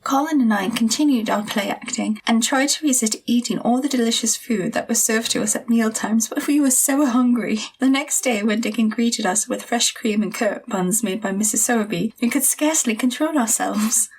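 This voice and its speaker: A woman as a breathy young girl narrating. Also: a little high, normal speed, hardly any pauses. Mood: reflective. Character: young girl narrator recalling her story, Mary.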